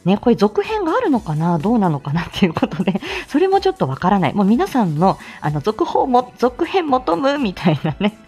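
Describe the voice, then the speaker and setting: A woman's voice: medium pitch, big pitch swings, brisk, few pauses, darkish tone, husky, faint music behind. A middle-aged woman hosting a casual morning livestream, chatting politely and gigglily with her listeners and making announcements. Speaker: host of a live audio show; speech-language therapist.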